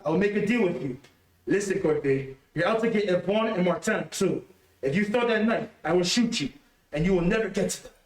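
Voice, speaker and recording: An actor playing a treacherous, cowardly ex-convict in an excitable, theatrical old-time radio melodrama style. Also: male voice, high-pitched, a little fast. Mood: frightened pleading. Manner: theatrical, excitable pleading and threatening. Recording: echoey room, distant mic.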